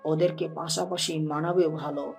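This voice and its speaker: A woman's voice: low-pitched, fairly even intonation, moderate pace, gravelly, a little mellow. A woman playing a pleased, agreeable older father of a bride in an audio story. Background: background music.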